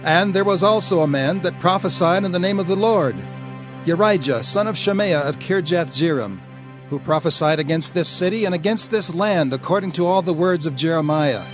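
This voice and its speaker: A grave elder acted by a man declaims in a fairly high male voice. Clear music accompanies the dramatized scripture reading. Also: normal speed, natural voice. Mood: grave, cautioning. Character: grave male elder recalling past prophecies.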